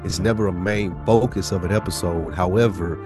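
A man's voice: low-pitched, brisk, music in the background. An American man co-hosting a casual TV review podcast, blunt and slangy, swearing freely. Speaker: podcast co-host.

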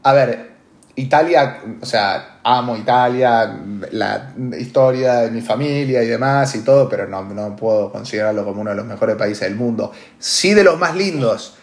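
A man's voice: medium pitch, normal speed. Emphatic Argentine man in an echoey room. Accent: Argentine Spanish. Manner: emphatic, repeats phrases for stress. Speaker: podcast host. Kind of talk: opinionated casual talk.

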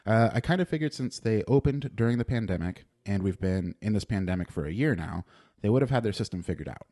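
A young man co-hosting a podcast, reading out a dry, deadpan restaurant review with frequent "uh" restarts. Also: lowish voice, fast. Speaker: podcast co-host. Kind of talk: casual chat, reading a written food review aloud.

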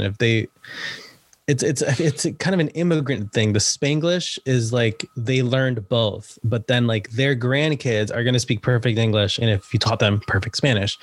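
A young man being interviewed about his work as an actor and writer, chatty, laughing often and using many fillers. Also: mid-range voice. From United States, Salvadoran family. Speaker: podcast guest, actor and writer. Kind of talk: casual interview chat.

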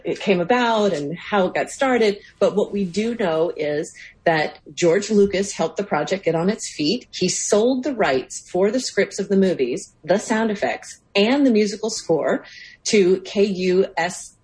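A woman's voice: mid-range voice, expressive, a little slow, darkish tone, low-quality recording. An American woman co-hosting a radio show with conversational commentary.

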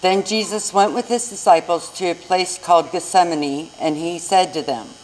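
A woman's voice: fairly low pitch, moderate pace, darkish tone, rough recording. A woman narrating a scripted scripture reading in church. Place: inside a church.

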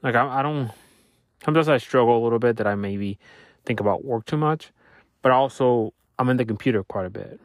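A man in his thirties whose first language is Spanish, talking through his week in a thoughtful, halting way and often restarting his sentences. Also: mid-range voice, no echo, clean studio sound. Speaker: podcaster reflecting on his week. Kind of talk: casual reflective monologue. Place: Louisiana.

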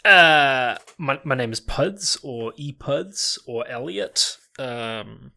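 Man from New Zealand, gravelly voice, mid pitch, casual chat, laughs a lot. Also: lively intonation, takes it slowly, bright, forceful tone. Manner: laughs often, frequent restarts. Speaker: podcast guest, online gamer.

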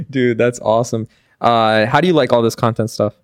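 A young man chatting as a podcast guest, relaxed and upbeat, laughing often and filling his talk with "dude" and "like". His voice is clear and resonant. The sound is dry and close. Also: mid-range voice, normal speed, no room sound. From Pakistan. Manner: laid-back, says "dude" and "like" a lot, laughs often. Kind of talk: casual chat.

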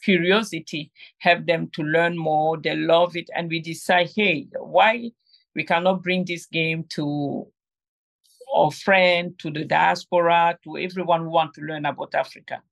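A woman's voice: low-pitched, fairly even intonation, unhurried, vocal fry. A middle-aged woman from Cameroon, telling her story as an interview guest with many fillers and restarts. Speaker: guest, game inventor. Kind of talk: conversational interview.

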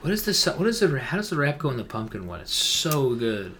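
Young male gaming podcast co-host. Bright tone, very animated intonation, excitable casual banter. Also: mid-range voice, brisk, a little hiss or hum. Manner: excitable, emphatic, slangy. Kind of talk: casual chat about video games.

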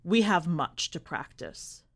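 A woman's voice: fairly low pitch, expressive, slow pace, a bit bright, heavily acted. A somber young man who works as a stage magician voiced by a woman narrator in a storybook tale. He is formal and cool, with a theatrical turn of phrase. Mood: dismissive.

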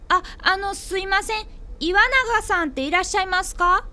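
A woman's voice: very high voice, moderate pace, sharp tone, heavily acted. A woman playing an earnest, polite fifth-grade boy apprentice detective in a radio drama, given to blaming himself. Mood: flustered, polite. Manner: polite, earnest, deferential speech. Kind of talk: radio drama acting with narration.

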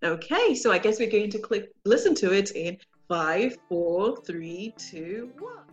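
A woman's voice: mid-range voice, sing-song, a little slow, lo-fi sound, faint music behind. A woman hosting a podcast, interviewing in a casual chatty style. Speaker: podcast host.

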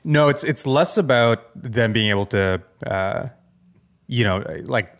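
A man's voice: mid-range voice, a little slow, a bit bright. A man co-hosting a podcast, giving casual commentary in a tentative way and often checking in with "right?". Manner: tentative, thinking aloud, often ends with "right?". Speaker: podcast co-host.

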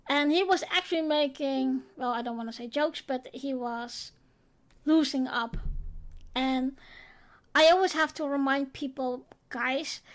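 A woman co-hosting a casual podcast chat, talking in a thoughtful way with pauses. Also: high voice, fairly bright tone. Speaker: podcast co-host.

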